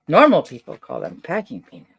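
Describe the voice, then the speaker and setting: A middle-aged man with a soft voice, chatting casually while he unpacks and crafts. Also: on the high side, wildly swooping pitch, brisk, slightly rough. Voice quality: soft-spoken. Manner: rambling, chatty, many fillers and restarts. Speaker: vlogger unboxing and crafting.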